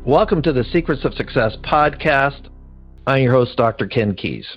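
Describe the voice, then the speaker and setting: A man's voice: medium pitch, lively intonation, normal speed, muffled recording, soft background music. A man hosting a podcast, encouraging and joking with his guest. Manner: upbeat, encouraging, jokey. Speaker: podcast host. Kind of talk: podcast interview and promo read.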